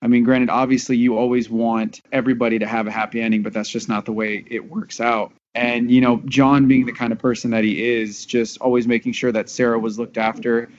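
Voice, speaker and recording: A man's voice: mid-range voice, level tone, fairly quick, few pauses. A man talking earnestly and reflectively in a casual panel discussion as a member of an audio drama cast. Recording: dull lo-fi sound.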